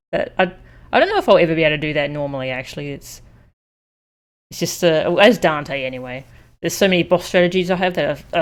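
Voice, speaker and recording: Woman, low-pitched voice, casual livestream chat, game streamer talking to viewers.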